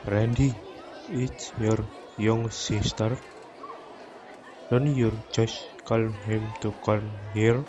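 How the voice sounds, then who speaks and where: A man's voice: fairly low pitch, steady tone, takes it slowly, long pauses, highs cut off. A young man with an Indonesian accent playing a student in an amateur radio drama. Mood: plotting. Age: young adult. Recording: loud music and outdoor crowd behind.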